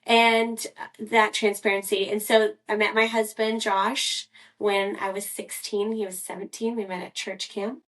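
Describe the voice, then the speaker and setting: Casual co-host: a woman who rambles and self-corrects, mid-pitched, sitting a bit back from the mic. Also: flat delivery, a little slow, fairly bright tone, recorded from a distance. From Scotland. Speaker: podcast co-host. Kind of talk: casual personal storytelling.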